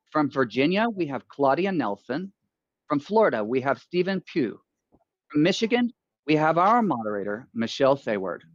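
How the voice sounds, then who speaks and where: A man reads the show's opening script with a lively, bouncing pitch. The sound is dry and a little dull, as if the recording is lo-fi. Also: no echo. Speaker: show announcer. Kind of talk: scripted introduction.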